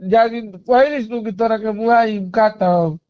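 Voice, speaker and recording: A man's voice: high voice, slow, clean tone, dry recording. A man with a Bhojpuri accent in an emotional private argument, pleading and agitated, repeating himself, on a recorded phone call. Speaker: caller in a personal phone argument.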